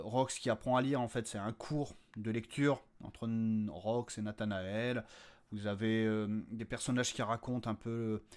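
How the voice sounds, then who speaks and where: A man's voice: lowish voice, darkish tone. A man talking informally and unscripted as a podcast host, hesitant and jokey, often repeating words as he searches for them. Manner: jokey, crude slang. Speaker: creator and host of a fiction audio podcast. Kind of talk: informal unscripted update to listeners.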